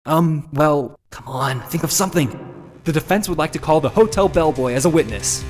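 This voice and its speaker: A man's voice: on the high side, normal speed, a little mellow, close to an everyday voice. A man voicing an anxious, earnest young defense attorney in a video game dub, nervous and dramatic. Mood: flustered. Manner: nervous, dramatic, with muttered inner asides. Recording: long reverb, music underneath. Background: music.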